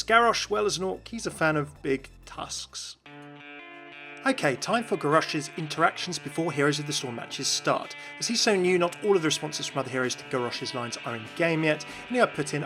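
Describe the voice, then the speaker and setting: A man giving explanatory commentary as the host of a gaming video. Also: fairly high pitch. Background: music.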